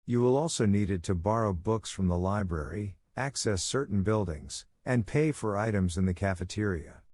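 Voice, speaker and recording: A man reading scripted academic passages aloud in a measured, careful, formal way. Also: fairly low pitch, a little mellow, dry recording. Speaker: reader of model read-aloud test passages.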